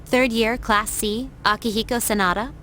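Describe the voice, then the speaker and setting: A woman's voice: a little high, steady tone, moderate pace, sharp tone, slight background noise. Composed, self-assured young woman. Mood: cool, purposeful. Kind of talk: anime video game voice acting.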